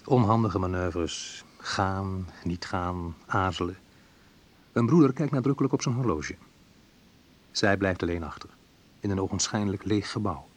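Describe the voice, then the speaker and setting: An actor playing a wistful man recounting his youth in a reflective, measured radio play narration, with a slightly husky voice. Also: deep voice, slight background noise. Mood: subdued. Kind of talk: literary first-person radio play narration.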